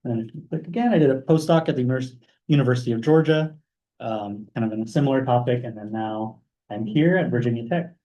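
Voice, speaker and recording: Young man, guest speaker, informal presentation, relaxed, filler-heavy. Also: mid-range voice, fast talker, lo-fi sound. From Hawaii. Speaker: guest speaker, math postdoc. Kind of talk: informal talk with slides.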